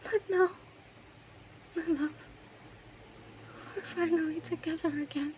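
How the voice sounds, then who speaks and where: A woman's voice: very high-pitched, steady tone, slow pace, a little mellow, a little hiss or hum, low-quality recording. A woman playing an obsessive, lovesick kidnapper in her thirties in an intimate, emotional audio roleplay monologue. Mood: tender, wistful. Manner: clingy, emotional, swings between breathy whispers and outbursts.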